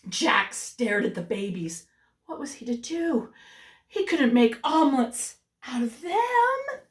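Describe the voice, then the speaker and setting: A woman reading a storybook aloud to young children as a teacher, with animated delivery and chatty asides. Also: high voice, slow pace. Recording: roomy echo, distant microphone.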